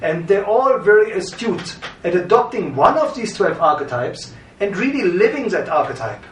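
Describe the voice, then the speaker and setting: A middle-aged man from Germany with a German accent, presenting a course introduction in a measured, explanatory way. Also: high-pitched, big pitch swings, slight background noise. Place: studio with green-screen backdrop. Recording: roomy, from a distance.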